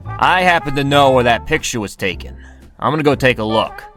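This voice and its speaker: A man's voice: mid-range voice, expressive, fairly quick, a bit bright, soft background music, lightly acted. Male actor for hard-boiled radio-play detective. Curt, bossy, impatient, very varied intonation. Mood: intrigued, muttering. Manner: curt, commanding, terse. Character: gruff, impatient 1920s New York police detective, man. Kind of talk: radio drama acting.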